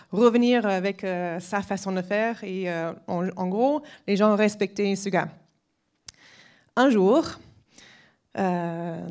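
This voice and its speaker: A woman's voice: medium pitch, a little mellow. A woman in her forties from the United States with an American accent, giving a personal testimony at a church weekend in French with frequent hesitations. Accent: American English. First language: English. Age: 40s. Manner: halting, many hesitations, laughs lightly.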